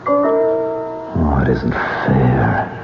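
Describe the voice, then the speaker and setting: Slow, pleading dying man. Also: very deep voice, huge pitch swings, vocal fry, exaggerated voice. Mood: anguished, pleading. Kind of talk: radio drama acting. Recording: muffled radio, echoey, loud music behind.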